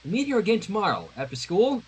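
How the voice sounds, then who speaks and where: A man plays a shy teenage girl in glasses, lovestruck and awkward, from a fan story read aloud. The voice is husky and halting, with a self-deprecating way of talking. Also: high-pitched, wildly swooping pitch, heavily acted, poor audio. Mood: hurt, resigned.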